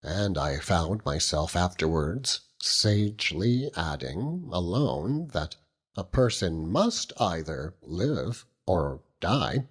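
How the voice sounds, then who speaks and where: Husky male audiobook narrator. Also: deep voice, big pitch swings, takes it slowly. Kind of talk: scripted literary narration.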